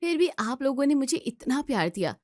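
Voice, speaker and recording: A woman's voice: high voice, big pitch swings, brisk, no room sound, studio quality, voice pushed up. A woman voicing a cheerful, dutiful daughter-in-law in her twenties in an audio story, eager, sweet and deferential. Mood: sweet, grateful.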